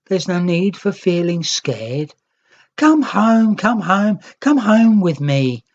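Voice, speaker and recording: Woman voicing a high, cheerful young storybook creature. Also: very animated, slow, a little mellow, muffled recording, exaggerated voice. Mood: warm, inviting. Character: cheerful, kindly young green nix creature, eager to share. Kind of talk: children's storybook character voice.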